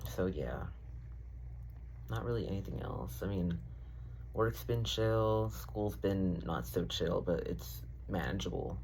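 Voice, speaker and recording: Young guy rambling to camera, low voice, lots of vocal fry, swears casually. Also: slow pace, a little mellow, slight background noise, low-quality recording. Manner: rambling, filler-heavy, self-deprecating, swears casually. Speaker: vlogger. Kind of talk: casual rambling diary vlog.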